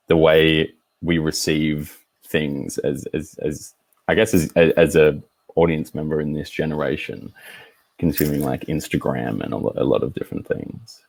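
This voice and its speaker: A man's voice: a bass voice, creaky, dry recording. A man from Melbourne, a theatre maker and performer, talking reflectively on an online panel with many fillers. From Melbourne, Australia. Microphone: video call.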